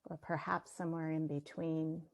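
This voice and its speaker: A woman leading a guided meditation, speaking gently and leaving long pauses between her phrases. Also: deep voice, level tone, vocal fry. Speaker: meditation teacher.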